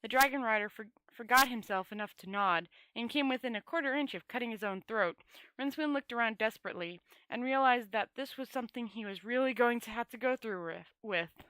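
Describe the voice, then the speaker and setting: A woman's voice: a little high, pushed and bright, no echo, low-quality recording. A woman reading a fantasy novel aloud as an amateur, stumbling and rereading, with casual asides. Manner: halting, often stumbling over words and rereading. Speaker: amateur audiobook reader.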